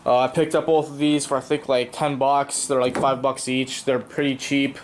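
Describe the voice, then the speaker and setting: A man's voice: mid-range voice, little pitch movement, normal speed, a little hiss or hum. A young man with a cold, sounding congested, casually explaining his boat project in a rambling vlog full of fillers. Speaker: vlogger.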